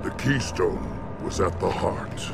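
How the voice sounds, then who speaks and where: Gravelly, solemn alien warrior shaman. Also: low-pitched, lively intonation, loud music behind the voice, heavily acted. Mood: solemn, ceremonial. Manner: ceremonial, portentous, measured. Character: solemn, battle-loving male alien krogan shaman. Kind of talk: dramatic video game acting.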